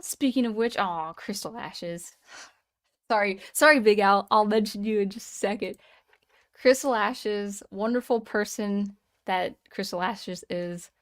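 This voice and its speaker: Chatty woman hosting a live stream. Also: mid-range voice. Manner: chatty, thanks viewers by name, frequent restarts. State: voice slightly strained. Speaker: livestream host. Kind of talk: casual live-chat streaming.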